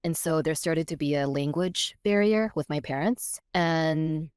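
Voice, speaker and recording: Low-voiced reflective woman, dry mic. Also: a little slow, darkish tone, clean tone, no echo. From born in Korea, raised in California. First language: Korean. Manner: reflective, searching for words, frequent restarts. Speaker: podcast guest. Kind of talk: casual chat.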